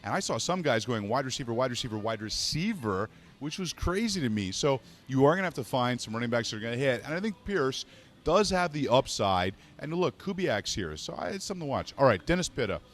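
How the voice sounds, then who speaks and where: A man's voice: mid-range voice, sing-song. A man from Montreal hosting a fantasy sports radio show in casual, joking chat. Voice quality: joking, brisk. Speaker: podcast host.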